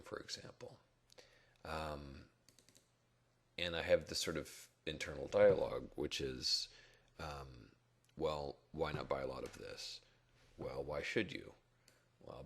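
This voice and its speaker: A man with a rough early-morning voice, giving halting, reflective, unscripted commentary on stock charts in a casual way. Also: very deep voice. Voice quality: rough, gravelly, husky. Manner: halting, reflective, thinking aloud. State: rough early-morning voice, not yet warmed up. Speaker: stock market blogger and chartist.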